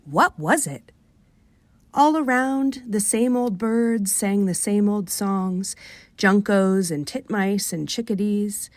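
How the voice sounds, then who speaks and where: A woman with a Rochester, New York accent reading a short story for radio in an expressive, wry manner. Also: medium pitch, slow, no echo. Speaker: author reading aloud.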